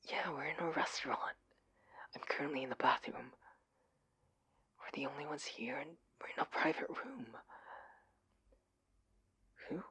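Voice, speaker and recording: A man playing an anxious, adorkable husband in an intimate audio roleplay, speaking in a breathy, pleading manner. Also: lowish voice, a little mellow. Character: adorkable, anxious, oblivious husband, affectionate and flustered.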